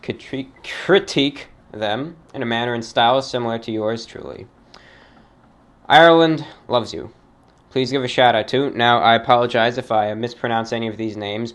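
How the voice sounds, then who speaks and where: A man's voice: medium pitch, a bit bright. Formal man reading listener emails. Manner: formal, measured, halting delivery. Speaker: host reading fan mail. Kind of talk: radio-style monologue reading emails.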